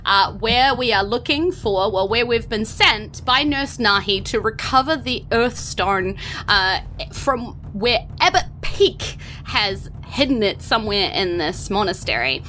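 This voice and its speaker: An excitable, playful woman with a New Zealand accent, hosting and narrating a tabletop game podcast in a theatrical, chatty way. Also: mid-range voice, a little slow, slight background noise. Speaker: podcast host and game master.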